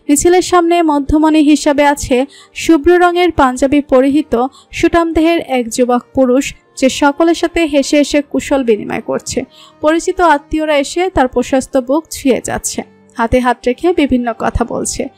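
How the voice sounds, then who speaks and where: A woman narrates a scripted romantic story in a Bangladeshi accent. Her voice is distinctly high, and quiet music sits underneath the reading.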